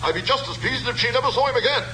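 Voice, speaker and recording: A protective father and shopkeeper, thoroughly fed up. He complains in clipped, indignant bursts with a piercing, high, swooping voice. It is vintage radio drama with faint noise. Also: expressive, racing, sharp tone, exaggerated voice. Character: fed-up, protective middle-aged father, fussy male shopkeeper. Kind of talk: old-time radio drama acting. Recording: faintly noisy old radio recording.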